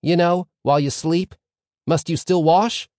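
A man's voice: a little high, steady tone, measured pace, a little mellow, dry recording, clean studio sound, pitched above the performer's own voice, natural voice. A man voicing an eager, quibbling teenage yeshiva student in an audiobook story, inquisitive and emphatic. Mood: quibbling, curious. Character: eager, quibbling teenage yeshiva schoolboys answering their rabbi.

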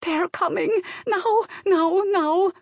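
A woman voicing an excitable, protective Bulgarian maid in an audiobook, with a Bulgarian accent and urgent, rushed broken English. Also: very high-pitched, vocal fry, no room sound, studio quality, pitched above the performer's own voice, exaggerated voice. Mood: frantic, urgent. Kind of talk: audiobook character dialogue.

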